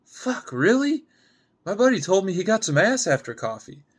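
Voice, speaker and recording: A man's voice: sits high, sing-song, normal speed, husky, voice pushed up, exaggerated voice. A man acting a slick young office guy. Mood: indignant, crude. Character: suit-and-tie consultant in his late twenties, charming then crude.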